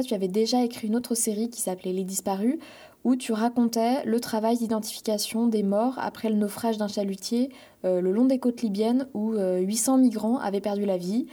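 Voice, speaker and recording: A woman journalist hosting a podcast, reading a scripted introduction and asking questions in a measured, deliberate way. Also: a little high, steady tone, dark tone, breathy edge.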